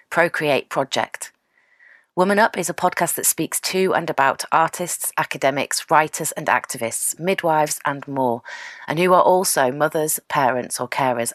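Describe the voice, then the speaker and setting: A woman hosting a podcast interview, sounding stuffy from a cold, gentle and hesitant with fillers. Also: deep voice, no room sound, studio quality. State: full of cold. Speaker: podcast host.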